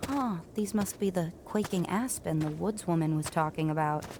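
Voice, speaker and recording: A woman's voice: fairly low pitch, normal speed, background noise, lightly acted. A woman playing a determined, kind young female mountain climber, plucky and inquisitive, in a family audio drama. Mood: wary, musing.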